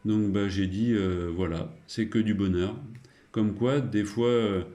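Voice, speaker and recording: A man's voice: lowish voice, fairly even intonation, measured pace, dark tone. A man chatting casually as a radio operator, in a rambling, hesitant way. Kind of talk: casual radio contact chat.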